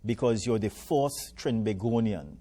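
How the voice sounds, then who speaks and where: A man's voice: on the low side, a little slow, mellow. A man hosting a morning TV show who interviews a guest with friendly, encouraging, folksy questions. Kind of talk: live TV interview.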